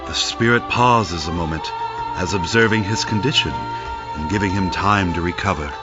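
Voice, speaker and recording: A man's voice: low-pitched, normal speed. A man narrating a classic story in a dramatic literary style. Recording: muffled lo-fi audio with music behind.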